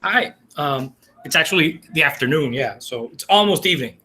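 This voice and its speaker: Guy on a webinar, bright voice, upbeat and emphatic. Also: fairly high pitch, animated, moderate pace. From Colombia. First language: Spanish. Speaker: webinar panelist, technology integrator. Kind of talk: casual video call chat. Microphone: video call.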